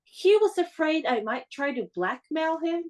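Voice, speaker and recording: A composed young lady of a radio play, acted by a woman. Her voice is high, with lively intonation. She is polite and direct, keeping her guard up. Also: unhurried.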